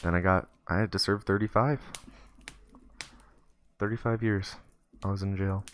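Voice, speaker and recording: A man's voice: low-pitched, steady tone, normal speed, lightly acted. A man voicing a nervous, downcast boy in a told story, hesitant and stammering. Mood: glum, confessing. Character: nervous, downcast boy drifter fresh from prison. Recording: noisy, keyboard clicks.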